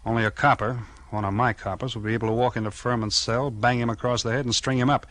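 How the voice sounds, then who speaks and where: A man with an American accent acting a steady, tough small-town police chief in a 1940s radio crime drama, plain-spoken and authoritative. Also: medium pitch, fast, no echo, natural voice. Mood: sober, regretful.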